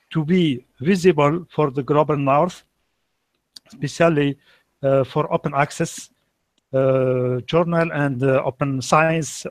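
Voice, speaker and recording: Algerian-accented guy talking slowly, lots of hesitations, unscripted, dry call audio. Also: a little high, no room sound. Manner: halting, frequent hesitation and repetition. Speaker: open access activist and journal editor. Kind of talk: unscripted self-introduction. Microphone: video call.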